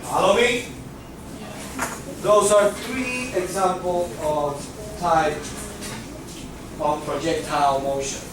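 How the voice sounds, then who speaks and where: Physics teacher, male, Cuban accent, high voice, heard from far off in an echoey classroom. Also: steady tone, slow, pauses often, background noise. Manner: checks in with students often. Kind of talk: classroom lecture.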